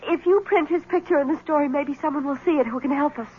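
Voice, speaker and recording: An actress playing a nervous young wife whose husband has vanished, speaking in halting, stammering lines in a 1970s radio drama. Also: female voice, high-pitched, noisy background, natural voice. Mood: pleading. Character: anxious young wife of a vanished man, secretive. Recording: thin phone-like old radio broadcast.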